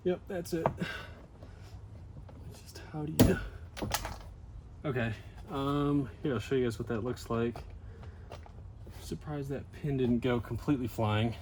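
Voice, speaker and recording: Man thinking aloud over clear background noise. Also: medium pitch, unhurried, long pauses. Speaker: DIY video host. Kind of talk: casual hands-on narration while working.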